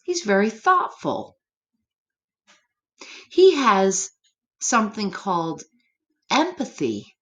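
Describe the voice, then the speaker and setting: Woman storyteller for little kids, unhurried and highly animated, gently expressive, speaking straight to the children. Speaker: children's story reader, teacher. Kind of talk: read-aloud storytime for young children, with chatty asides. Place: room at home.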